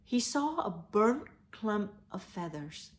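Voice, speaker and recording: A woman reading and talking to children as a storyteller, with deliberate, emphatic phrasing. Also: mid-range voice, very animated. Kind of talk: children's read-aloud storytelling. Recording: echoey, roomy sound.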